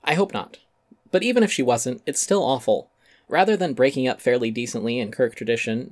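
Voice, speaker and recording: A man's voice: mid-range voice, no echo, clean studio sound. A man giving a dramatic reading of a critical essay, narrating with emphatic, sardonic delivery and some swearing.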